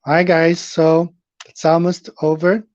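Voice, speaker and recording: Brazilian-accented male streamer, middle-aged, higher voice, dry dull sound, loose rambling delivery. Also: level tone, unhurried, darkish tone, no room sound. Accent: Brazilian Portuguese. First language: Portuguese. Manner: rambling, with frequent fillers and questions to viewers. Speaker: live stream host. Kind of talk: casual live stream chat with viewers. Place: home, in Japan.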